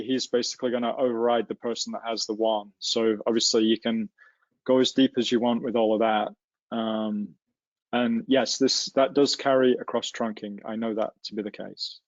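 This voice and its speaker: Male webinar instructor, mid pitch, informal step-by-step tech tutorial, close dry sound with slightly dulled audio. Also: no echo, highs cut off. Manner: informal, explains step by step with hands-on examples. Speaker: instructor in a technical software tutorial. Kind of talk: casual live webinar walkthrough. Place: livestreamed online session.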